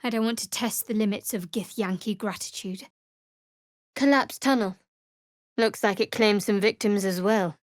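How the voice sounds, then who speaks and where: A woman playing a guarded, sardonic young cleric of a dark goddess in a fantasy video game, speaking in a dry, measured, wry way. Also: mid-range voice, steady tone, no room sound, natural voice. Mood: wry, composed.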